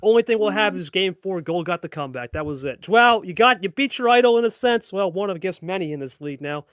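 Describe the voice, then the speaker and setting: A man's voice: high voice, expressive, quick pace, a bit bright, dry recording, studio quality. A man hosting and commentating on a card game match, hyping it up and repeating phrases for emphasis. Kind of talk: live play-by-play commentary and player interviews.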